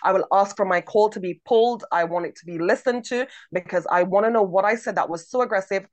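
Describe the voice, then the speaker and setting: A woman's voice: mid-range voice, fast, no echo. A woman co-hosting a podcast, talking emphatically with a big, strong voice and repeating words for emphasis. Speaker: podcast co-host. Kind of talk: conversational podcast commentary.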